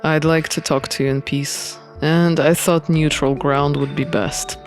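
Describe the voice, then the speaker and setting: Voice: mid-range voice, little pitch movement, unhurried, soft background music, voice pushed down, natural voice. A performer voicing a reserved, serious man in a visual novel, speaking formally and tersely. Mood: guarded, formal. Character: Felix, reserved, scowling man in a dream.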